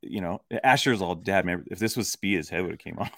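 A laid-back man in his thirties with a low, gravelly voice, chatting on a podcast. His pitch swings widely as he acts out quotes and keeps saying "dude." The sound is close and dry. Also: huge pitch swings, normal speed, fairly bright tone, dry recording. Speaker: podcast co-host. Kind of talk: casual chat.

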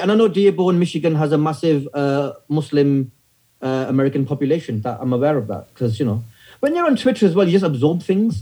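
A comedian in his thirties with a northern English accent, telling stories in a relaxed interview with wry, self-deprecating humor. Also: mid-range voice, slightly rough. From north of England. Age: 30s. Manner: wry storyteller, self-deprecating, many fillers. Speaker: stand-up comedian guest. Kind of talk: casual interview chat.

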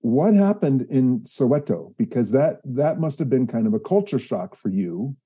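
A man hosting a podcast, gently prompting his guest with questions and brief affirmations. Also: medium pitch, lively intonation, moderate pace, husky. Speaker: podcast host. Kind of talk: interview questions.

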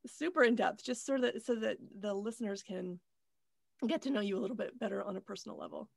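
A woman's voice: mid-range voice, fast, gravelly, no room sound, studio quality. A woman hosting a podcast, earnest and musing in casual chat, swearing freely. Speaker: podcast host.

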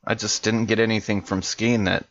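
A man's voice: fairly low pitch, little pitch movement, moderate pace, fairly bright tone. Man in a tabletop game, slightly muffled. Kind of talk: casual in-character improvised chat.

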